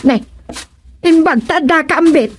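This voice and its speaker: Curious village neighbor, played by a woman, high-pitched voice, Ilocano accent, chatty, radio comedy drama. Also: unhurried. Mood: brisk, bantering.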